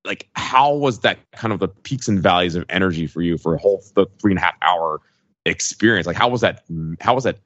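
A man's voice: sits low, expressive, a little fast, a bit bright, dull audio. A man co-hosting a podcast, casually talking and asking questions in conversation. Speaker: podcast co-host.

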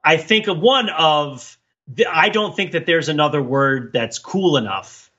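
A man's voice: on the high side, expressive, measured pace, pushed and bright, slightly rough, lo-fi sound. A man co-hosting a podcast in casual chat, discursive, thinking aloud and often checking his points with "right?". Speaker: podcast co-host.

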